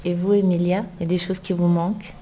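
A woman hosting an interview segment, asking brief, clear questions. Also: on the low side, little pitch movement, fast, dark tone. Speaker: interviewer and host.

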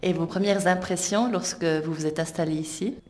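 Female interviewer-presenter. Lowish pitch, dark soft timbre, scripted links and questions. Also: steady tone, brisk.

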